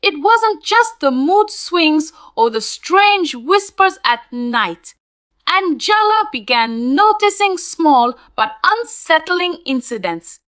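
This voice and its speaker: A woman narrating a spooky scripted tale in a dramatic, deliberate storytelling manner. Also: very high-pitched, very animated, a bit bright, no room sound.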